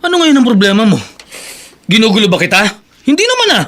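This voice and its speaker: A man's voice: high voice, wildly swooping pitch, quick pace, bright, forceful tone, full character voice. An actor playing a bitter, defiant, drunken young Filipino man in an anguished, melodramatic radio drama style. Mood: dismissive. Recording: faint music behind.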